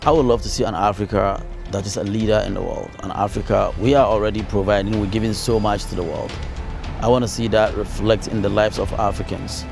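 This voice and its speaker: A man's voice: fairly low pitch, fairly even intonation, fairly quick, vocal fry, over music. A man from Ghana, a city councilor, giving earnest interview answers with fillers and repeated phrases.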